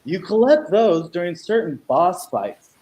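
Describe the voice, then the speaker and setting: A man's voice: high voice, sing-song, measured pace, darkish tone, low-quality recording. A middle-aged man playing an irresponsible, game-obsessed stoner superhero in a comedy radio play over a video call. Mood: enthusiastic explaining. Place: room at home, video call.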